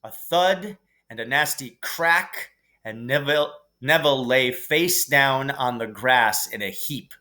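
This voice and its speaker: A man reading a children's novel aloud as expressive story narration, like a teacher reading to a class. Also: mid-range voice, takes it slowly.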